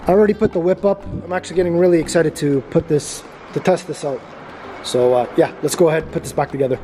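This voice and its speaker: Hobbyist man, casual how-to narration, rambling with fillers, voice on the higher side. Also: fast, soft background music. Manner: relaxed, rambling, many filler restarts. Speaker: vlogger installing a CB radio. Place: parking garage beside his truck.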